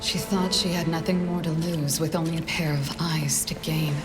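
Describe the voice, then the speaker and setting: An actress playing a guarded, brooding female assassin with a cool, measured delivery in a game. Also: low-pitched, fairly even intonation, over music, close to an everyday voice. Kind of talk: game character dialogue and solemn monologue.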